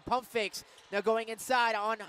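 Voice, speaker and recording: A man doing live radio play-by-play of basketball, excitable and breaking into shouts on big plays. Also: high voice, unhurried, a little hiss or hum. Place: indoor college basketball arena.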